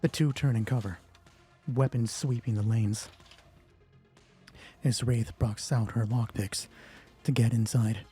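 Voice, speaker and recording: A man's voice: mid-range voice, fairly even intonation, measured pace, pauses often, mellow, breathy edge. A man hosting a solo roleplay game as game master, moving between terse story narration and informal commentary on play. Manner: informal, swearing, thinking aloud during play. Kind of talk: hushed scripted story narration alternating with casual game commentary. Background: music.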